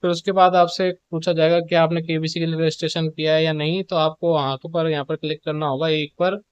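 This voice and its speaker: A man's voice: on the high side, little pitch movement, a little fast, clear, resonant tone, no room sound, highs cut off. A man presenting updates in an informal, instructional explainer style, with repetitive phrasing. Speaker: video presenter giving show updates.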